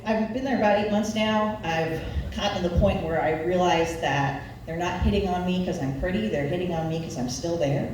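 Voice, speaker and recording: A woman in her forties from Nebraska with a Midwestern American accent performs live stand-up in a wry, self-deprecating, deadpan storytelling way. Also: fairly low pitch, a little fast, hardly any pauses. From Nebraska, United States. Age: 40s. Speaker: stand-up comedian. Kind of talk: live stand-up comedy routine. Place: live comedy venue. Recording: echoey room, distant mic, background noise.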